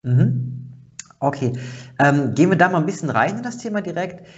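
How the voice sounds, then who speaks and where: A man's voice: fairly high pitch, lively intonation, normal speed, muffled recording. A man hosting a podcast, asking chatty conversational questions with fillers. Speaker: podcast host. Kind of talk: podcast interview.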